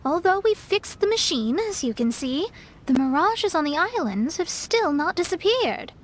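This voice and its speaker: A woman voicing a proud, vigilant young astrologer in a video game, articulate and measured. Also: extremely high pitch, big pitch swings. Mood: thoughtful.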